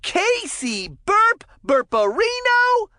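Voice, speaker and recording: Super high swoopy hockey announcer for a kids game, slow drawn-out punchy name calls, excitable. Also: no echo, studio quality, heavily acted. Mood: excited announcing. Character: excitable cartoon hockey play-by-play commentator. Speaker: sports game commentator. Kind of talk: hockey announcer calling out player names.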